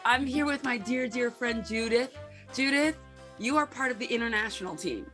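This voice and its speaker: A woman's voice: a little high, moderate pace, a bit bright, faint music behind. A woman hosting an interview, introducing her guest and asking probing follow-up questions. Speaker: host.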